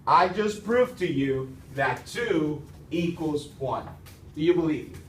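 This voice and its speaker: A man teaching a class, playful and energetic, asking students questions. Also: fairly high pitch, takes it slowly, distant mic, slight background noise. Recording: echoey classroom from afar.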